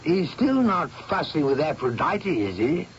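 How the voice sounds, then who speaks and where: An actor playing a worldly, teasing friend of the painter, talking persuasively in an old radio drama. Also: male voice, fairly high pitch, big pitch swings, a little slow, slight background noise. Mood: kind. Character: worldly male art friend, lively and teasing. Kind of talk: 1970s radio drama acting. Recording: dull lo-fi old radio recording.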